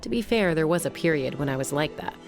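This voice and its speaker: Chill young warrior woman, lowish voice, measured, game music behind. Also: animated. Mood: thoughtful. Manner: easygoing and measured. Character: calm young female warrior peacemaker.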